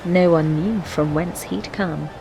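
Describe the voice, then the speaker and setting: A woman narrating a fantasy adventure game, reciting rhyming story verse in a measured, poetic way. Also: on the low side, gravelly, low-quality recording. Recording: music behind the voice. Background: background music.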